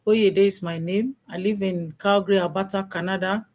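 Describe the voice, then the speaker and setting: A woman's voice: medium pitch, fairly even intonation, moderate pace, darkish tone. A woman with a Nigerian accent co-hosting a live session, introducing herself formally to viewers. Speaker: co-host, mentor.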